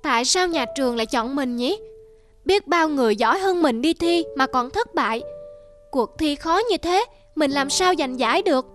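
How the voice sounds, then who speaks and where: A woman's voice: sits high, animated, normal speed, soft background music. A woman voicing an earnest, self-doubting schoolboy in a cartoon, polite and hesitant in manner. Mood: downcast, doubtful. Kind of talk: cartoon dubbing.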